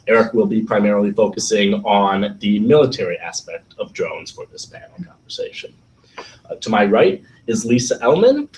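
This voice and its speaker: A man moderating a panel discussion, his voice a little raspy as he gets over an illness, reading guest introductions with some fillers and slips. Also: fairly low pitch, darkish tone, distant mic, a little hiss or hum, low-quality recording. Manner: reads guest bios with fillers and small slips. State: recovering from illness.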